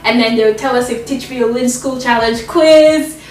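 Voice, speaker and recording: A woman's voice: fairly high pitch, normal speed, darkish tone, reverberant, far from the mic, a little hiss or hum. A young woman from Jamaica chatting casually about her school years while cooking in her home kitchen, rambling and laughing often. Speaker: vlogger being interviewed.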